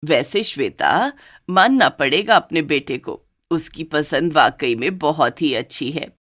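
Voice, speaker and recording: A woman voicing a doting but bossy older mother-in-law in a cartoon story, speaking lower and slower. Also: animated, fairly bright tone, exaggerated voice. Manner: lower, slower, scolding toward one and sweet toward the other.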